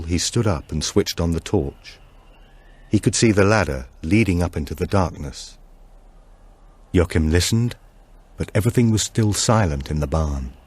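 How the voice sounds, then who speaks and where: Low-voiced man narrating a thriller. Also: darkish tone, slightly rough. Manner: measured, deliberate storytelling. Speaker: audiobook narrator. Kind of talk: scripted narration of a supernatural thriller.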